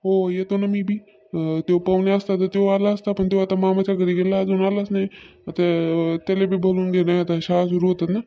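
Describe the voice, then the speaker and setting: A man acts out a stingy village farmer husband from a comedy cartoon. His voice sits high for a man and is mellow and fairly monotone. He grumbles and nags in a rural Maharashtra Marathi accent. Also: a little fast. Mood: matter-of-fact. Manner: nagging, complaining.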